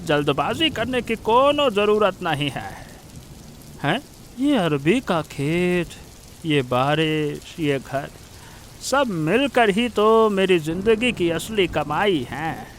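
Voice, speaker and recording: A man's voice: high-pitched, animated, takes it slowly, a little hiss or hum. A man voicing a contented, folksy village father in a cartoon story. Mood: content, reflective. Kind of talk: Hindi cartoon story voice acting.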